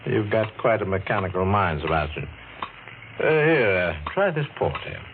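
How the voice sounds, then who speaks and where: A man's voice: deep voice, lively intonation, moderate pace. A man acting in an old radio suspense play as a guilty, frightened murderer who blusters uneasily. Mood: wary politeness. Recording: muffled, noisy, band-limited old radio recording.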